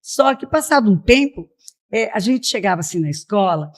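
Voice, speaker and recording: A woman's voice: mid-range voice, lively intonation, normal speed. A middle-aged woman, telling her story as an interview guest and often checking in with "né?". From Piracicaba, São Paulo state, Brazil. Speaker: missionary, podcast guest. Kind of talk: personal testimony in a conversational interview.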